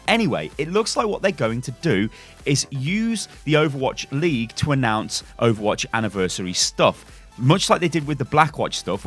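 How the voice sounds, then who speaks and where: A man giving casual gaming news commentary as a YouTuber, chatty and excitable, with rhetorical asides to his viewers. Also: mid-range voice.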